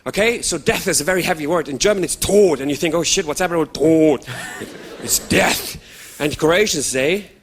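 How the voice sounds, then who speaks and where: A man's voice: a little high, moderate pace, slight background noise. A man in his thirties doing a stand-up comedy routine, talking to the crowd and mimicking sounds and voices. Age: 30s.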